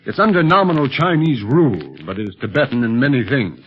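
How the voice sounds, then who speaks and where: A man playing a confident, steady secret agent and leader, brisk and authoritative, in a 1930s radio adventure serial. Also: mid-range voice, close to an everyday voice. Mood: explaining. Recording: dull 1930s radio recording with faint noise.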